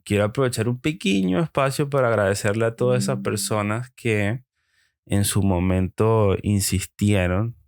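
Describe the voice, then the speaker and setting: A young man with a Venezuelan accent speaks slowly in a very low voice. He hosts a solo podcast and thinks aloud, often stopping on ums and restarting. The sound is dry and close, with no room around it. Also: no echo. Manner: hesitant, with frequent ums and pauses. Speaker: podcast host. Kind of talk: solo reflective monologue.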